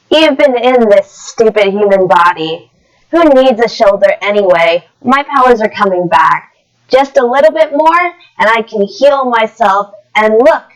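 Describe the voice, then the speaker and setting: Woman as a cocky, raised-pitch scheming demon. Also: low-quality recording. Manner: boastful, dismissive. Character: cocky scheming male demon in a human body.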